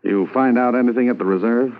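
An actor playing a brisk, patient Mountie sergeant who questions in a crisp manner, in a 1950s radio drama. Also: male voice, mid-range voice, close to an everyday voice. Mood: businesslike. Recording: tinny lo-fi old radio broadcast with hiss.

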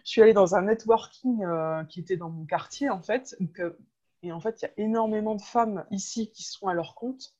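A woman's voice: mid-range voice, moderate pace, dark tone. Woman chatting on a dull, lo-fi recording. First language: French. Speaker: podcast guest, web entrepreneur. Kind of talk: casual interview, personal storytelling.

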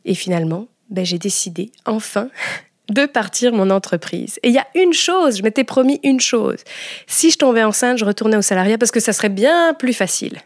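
A woman hosting a podcast, telling her own story to listeners in an expressive, confiding way. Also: on the high side, normal speed, no room sound, clean studio sound. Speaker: podcast host and entrepreneur.

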